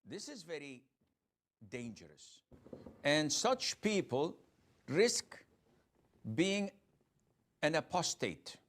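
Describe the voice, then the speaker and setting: A man's voice: fairly high pitch, sing-song, slow pace, long pauses, a bit bright. A man giving a stern religious lecture in English, played as a clip.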